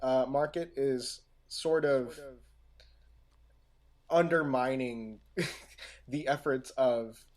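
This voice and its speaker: A man's voice: mid-range voice, fairly even intonation, drawn-out words, long pauses, darkish tone. A man talking casually as a podcast panelist, tending to repeat his phrases. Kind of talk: casual chat.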